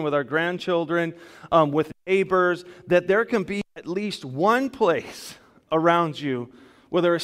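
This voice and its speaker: A man preaching a sermon as pastor, speaking loudly in a conversational way with casual asides, into a microphone in a church. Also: high-pitched. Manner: loud, conversational, self-deprecating asides. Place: church sanctuary. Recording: long hall reverb in a church.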